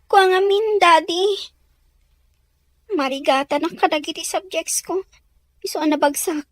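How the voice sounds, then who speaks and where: A woman's voice: very high-pitched, unhurried, fairly bright tone, no room sound, studio quality. A woman with an Ilocano Filipino accent playing a troubled, defiant teenage daughter in an audio soap drama. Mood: nervous.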